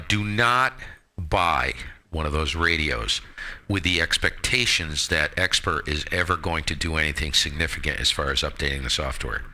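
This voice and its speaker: Male, low and very bright, forceful voice; opinionated, emphatic, casual ham radio talker. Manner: blunt, emphatic, slangy. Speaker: amateur radio operator hosting a live stream.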